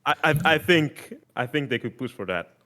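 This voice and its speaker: A man whose mother tongue is Italian, talking in a loose podcast chat. He gets excited, laughs a lot and leaves sentences hanging. His voice sits at an ordinary middle pitch. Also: moderate pace. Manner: excitable, laughs often, trails off mid-sentence. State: sleep deprived. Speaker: podcast host. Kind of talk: casual chat.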